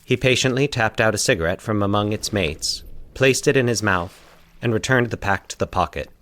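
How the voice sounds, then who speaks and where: A man's voice: lowish voice, fairly quick, fairly bright tone. A man reading scripted fiction as the narrator of a story podcast. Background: faint atmospheric sound effects.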